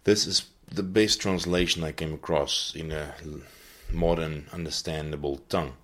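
A man with a very low voice hosts a Bible teaching podcast. He talks off the cuff in an earnest way, often pausing to find the next word. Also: steady tone, fairly bright tone. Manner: earnest, searching for words as he goes. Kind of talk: unscripted teaching talk.

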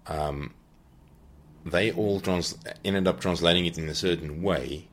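Deep low guy talking through bible stuff on a podcast, earnest, stops to hunt for words a lot. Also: measured pace. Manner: earnest, searching for words as he goes. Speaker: podcast host and Bible teacher. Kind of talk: unscripted teaching talk.

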